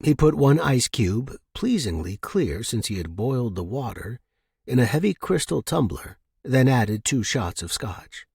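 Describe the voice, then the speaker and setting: A man's voice: mid-range voice, very animated, unhurried, creaky, darkish tone, breathy edge, no echo, clean studio sound. A man hosting a fiction podcast and narrating short stories. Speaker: podcast host and story narrator. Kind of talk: casual intro and scripted audiobook narration.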